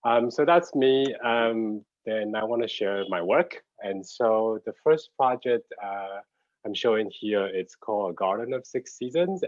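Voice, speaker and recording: A middle-aged man from Taiwan with a Taiwanese accent, giving an informal online talk as a designer in a halting, hesitant way while searching for words. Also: on the low side, no room sound. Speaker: graphic designer and studio head giving a guest talk. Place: at home, on a video call. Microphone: computer video call. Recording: dull, lo-fi video call audio.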